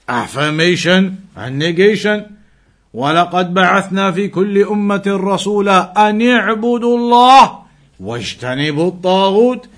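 A man's voice: high-pitched, a bit bright. A man giving a religious lesson in a didactic, emphatic way, repeating key phrases and reciting quotations. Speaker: Islamic teacher.

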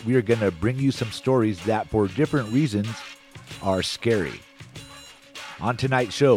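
A man's voice: lowish voice, a little mellow. A man with an American accent hosting a radio magazine show, reading from a script. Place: radio broadcast. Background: music bed.

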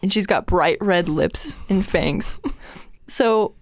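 A woman in her twenties co-hosting a podcast in casual chat, self-mocking and quick to laugh. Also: mid-range voice, no room sound, clean studio sound. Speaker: podcast co-host.

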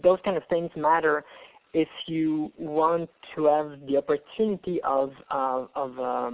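A man's voice: a little high, creaky, dry recording. Man on a tinny phone line. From Canada. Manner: halting, hesitant delivery. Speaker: presenter. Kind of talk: webinar presentation.

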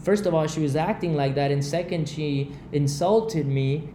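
A man's voice: on the high side, normal speed, mellow. Earnest man from Texas. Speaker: guest. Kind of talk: casual conversational interview. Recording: echoey room with faint background noise.

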